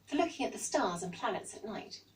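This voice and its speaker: A woman's voice: mid-range voice, expressive, brisk, lightly acted. Woman as storybook man, English accent, enthusiastic, friendly, exclamatory, slightly distant mic.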